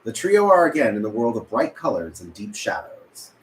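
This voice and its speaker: A man's voice: lowish voice, very animated, moderate pace. A man reading stage directions at a script table read, occasionally stumbling and correcting himself. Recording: echoey room with background noise.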